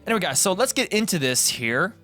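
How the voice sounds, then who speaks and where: A man's voice: sits high, very animated, fast talker, pushed and bright. A Canadian man hosting a casual, rambling commentary, informal and digressive, often correcting himself as he goes. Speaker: video host. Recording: background guitar music. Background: guitar music.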